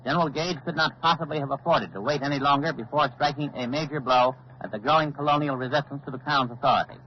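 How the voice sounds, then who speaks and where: An actor playing a grave, authoritative male news analyst in formal, deliberate 1940s radio commentary. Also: medium pitch, close to an everyday voice. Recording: dull old 1940s radio recording, faint noise.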